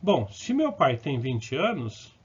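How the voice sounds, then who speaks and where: A man's voice: mid-range voice, huge pitch swings, takes it slowly, slightly rough. A man teaching math, explaining step by step and correcting himself as he goes, with short check-ins to the viewer. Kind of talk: recorded lesson working through problems. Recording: dull, lo-fi recording.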